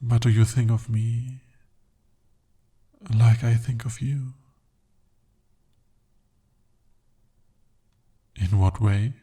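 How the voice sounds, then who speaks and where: A man voicing a breathy, possessive bound demon. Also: mid-range voice. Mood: adoring, intense. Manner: intimate, soft-spoken, slow and deliberate. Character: jealous, possessive male demon bound to a sorcerer. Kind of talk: ASMR roleplay.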